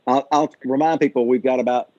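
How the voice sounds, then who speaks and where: A man informally moderating a group call in an easygoing, slightly halting way. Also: medium pitch, fairly even intonation, dark tone, no echo. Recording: dull, dry conference call.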